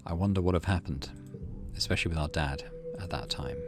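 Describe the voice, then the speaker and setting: Low-voiced man doing game commentary. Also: a little fast, vocal fry, over music. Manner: thinks aloud, mutters through decisions. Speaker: game streamer, let's play host. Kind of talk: let's play commentary, reading story text aloud.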